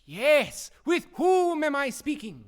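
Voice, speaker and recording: A naive artificial man in a radio comedy. The voice is extremely high and takes its time over words. It comes across as a polite, friendly time machine, eager and without guile. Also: sing-song, slow pace, exaggerated voice. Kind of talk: comedy radio drama acting.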